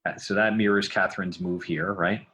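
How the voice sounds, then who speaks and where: Deep-voiced male tutorial host, informal think-aloud delivery, noticeably noisy recording with clicks. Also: steady tone, normal speed. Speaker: podcast creator and sound designer. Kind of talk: casual software tutorial narration. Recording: background noise, mouse clicking.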